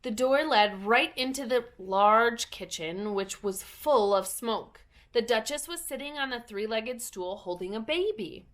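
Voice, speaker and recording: A woman's voice: on the high side, animated, a little slow, few pauses. A woman narrating a children's storybook as a story reader.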